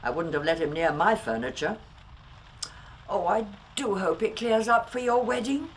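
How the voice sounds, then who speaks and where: A woman's voice: mid-range voice, normal speed, slight background noise, lightly acted. An actress playing a motherly, long-suffering Victorian housekeeper in a radio drama, fussy and scolding. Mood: fussing, fond. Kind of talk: period radio drama dialogue.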